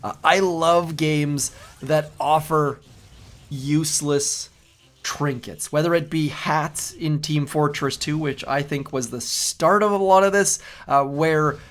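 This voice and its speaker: A man's voice: on the high side, fairly even intonation, takes it slowly, fairly bright tone. A middle-aged man with a youthful-sounding voice, hosting casual gameplay commentary in a chatty, rambling way and repeating his words. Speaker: game channel host.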